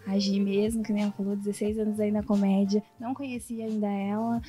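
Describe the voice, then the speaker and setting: A woman's voice: mid-range voice, little pitch movement, fairly quick, mellow, faint music behind. A Brazilian woman who produces comedy shows, talking casually and a little shyly as a podcast guest.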